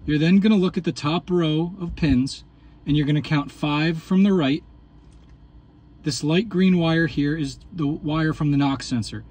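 A man with a somewhat high voice explains a repair step by step. He talks in a methodical, explanatory way, like a host guiding viewers through a job. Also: darkish tone, slightly rough. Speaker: channel host demonstrating repairs. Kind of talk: instructional walkthrough.

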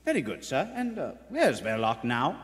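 A man's voice: mid-range voice, huge pitch swings, normal speed. A man playing a deferential, earnest detective reporting to his superior in a live radio play. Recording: echoey theater hall.

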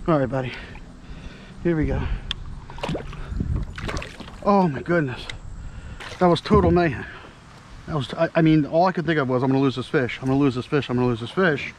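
Excited man fishing from a kayak. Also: on the high side, brisk, long pauses, noisy background. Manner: breathless exclamations, talks to the fish. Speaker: fishing vlogger. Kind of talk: excited self-filmed fishing commentary. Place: kayak on a pond, outdoors. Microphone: head-mounted action camera.

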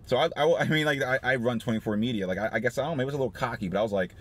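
A man in his thirties talking casually in a parked car, animated and laughing often, with many restarts. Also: medium pitch, racing. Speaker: small business owner vlogger. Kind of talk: casual motivational vlog. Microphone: phone camera on dashboard.

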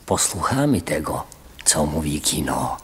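Husky, deep ceremonious tribesman. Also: big pitch swings, exaggerated voice. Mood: reasonable, ceremonious. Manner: deliberate, ceremonious. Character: earnest deferential tribesman speaking for the village. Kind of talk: radio drama acting.

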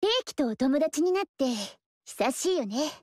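Inahime, a polite young archer. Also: female voice, high voice, very animated, normal speed, no echo, studio quality. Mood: earnest gentle. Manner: polite, soft and earnest. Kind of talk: video game dialogue. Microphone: studio mic, dry.

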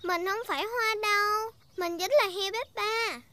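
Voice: extremely high pitch, measured pace, noisy background. A performer voicing a cheerful, bossy little girl piglet in a children's cartoon dub.

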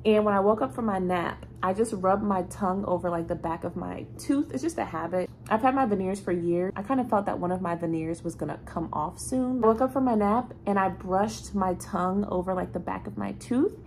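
A young woman in her twenties chatting casually to a phone camera in her apartment, telling stories with an animated, chatty manner and sounding a little congested. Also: medium pitch, brisk, hardly any pauses, slight background noise. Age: 20s. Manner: chatty, animated storytelling with laughs. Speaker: vlogger. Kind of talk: casual vlog chat to camera. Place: bright apartment room with ceiling fan. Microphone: phone camera held low, close.

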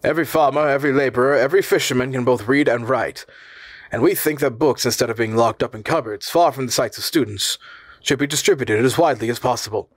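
A man acts out a courteous, learned male professor in a storybook reading, proud of his country. He speaks formally and earnestly, pitched a little above a middle male voice, in a dry recording. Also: quick pace, dry recording, lightly acted.

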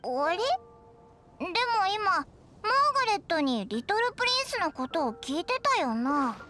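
Tiny game fairy mascot: very high, childlike, brash, excitable, lively intonation. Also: female voice, unhurried, full character voice. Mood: surprised. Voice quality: squeaky, childlike. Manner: brash, informal, blunt. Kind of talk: video game voice acting. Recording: music under the dialogue.

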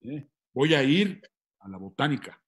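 Older man playing a worried gruff old grandfather, swinging intonation, comedy table read. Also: medium pitch, very animated, normal speed, close to an everyday voice. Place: home room on a video call. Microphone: laptop microphone.

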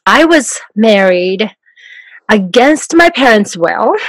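Japanese accent lady, middle aged, soft voice, laughs a lot, dry close sound. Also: fairly high pitch, very animated, slow, no room sound. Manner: soft-spoken, laughs often while talking. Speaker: guest, life coach. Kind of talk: podcast interview.

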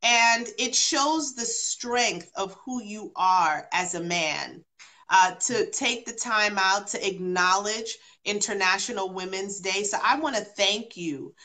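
A woman's voice: mid-range voice, slow pace, sharp tone. A woman born in London and raised partly in Jamaica and New York, a guest on a talk show, speaking earnestly and repeating phrases for emphasis over a video call. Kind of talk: casual talk-show chat.

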